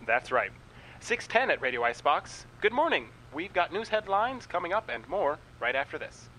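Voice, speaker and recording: Bright-voiced man acting as radio host. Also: fairly high pitch, very animated, normal speed, poor audio. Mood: upbeat. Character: bright, polite small-town male morning radio host. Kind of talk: comic morning radio show hosting. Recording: faint background noise.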